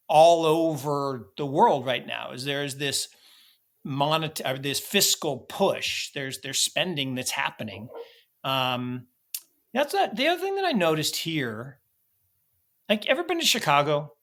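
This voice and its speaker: A man's voice: on the high side, sing-song, measured pace, fairly bright tone. An American man co-hosting a podcast, chatting casually and animatedly about markets and travel, often restarting his sentences. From United States. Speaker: podcast co-host.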